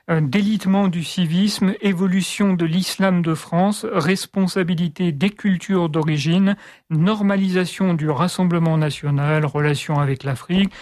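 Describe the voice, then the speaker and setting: A woman hosting an interview, putting prepared questions with frequent hesitations and a halting delivery. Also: fairly low pitch, a little mellow. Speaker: interview host.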